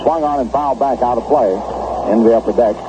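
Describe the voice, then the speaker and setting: An American man calling baseball play-by-play in 1960 radio style, with measured, terse descriptions of each pitch. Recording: dull lo-fi old radio broadcast, heavy noise.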